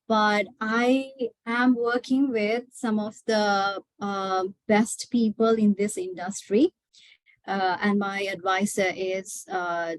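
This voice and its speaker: A woman's voice: mid-range voice, no echo, poor audio. A young woman from Sri Lanka, a PhD student, giving a webinar talk with frequent hesitations and fillers. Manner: hesitant, many filler sounds. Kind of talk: webinar presentation.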